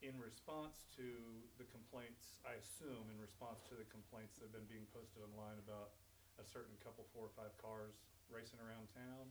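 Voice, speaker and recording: Man, public commenter, blunt and argumentative, low voice, no mic of his own, council meeting room. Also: steady tone, normal speed, a little hiss or hum, low-quality recording. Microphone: speaking without a mic, picked up by a room mic.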